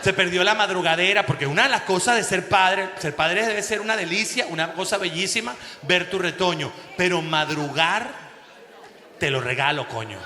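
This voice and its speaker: Comediante venezolano en sala con mucha reverberación. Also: male voice, high-pitched, normal speed, sharp tone. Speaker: stand-up comedian. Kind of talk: stand-up comedy routine. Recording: echoey hall, long reverb, distant.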